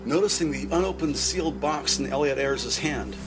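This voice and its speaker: Male narrator for a crime audio drama: slightly high register, scripted storytelling, prominent music underneath. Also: normal speed.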